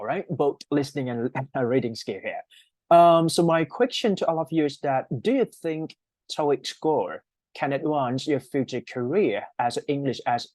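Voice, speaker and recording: A man's voice: mid-range voice, a little mellow, no room sound. A man from Thailand with a Thai accent, a native Thai speaker, teaching an English class online and often checking in with short tag questions. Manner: checks understanding with frequent "right?" tags. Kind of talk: online class lecture explaining an exam task.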